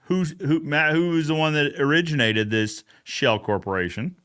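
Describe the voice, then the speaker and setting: Higher-pitched man recapping a show in casual chat. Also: moderate pace, a bit bright, clear, resonant tone. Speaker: show host.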